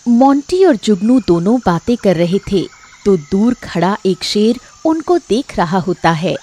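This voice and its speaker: Woman storyteller, unhurried and lively. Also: medium pitch. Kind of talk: scripted children's story narration. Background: faint music.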